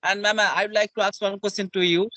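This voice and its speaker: A man from India asking polite, halting questions in a video call interview, often affirming with repeated yeses. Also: high voice, little pitch movement, sharp tone, no echo. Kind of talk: conversational practice interview.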